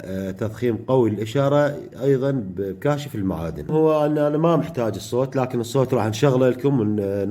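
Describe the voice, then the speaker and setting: A man with an Iraqi Arabic accent teaching an electronics workshop step by step, pausing often mid-phrase to find the next word. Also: mid-range voice, dark tone. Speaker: electronics tutor.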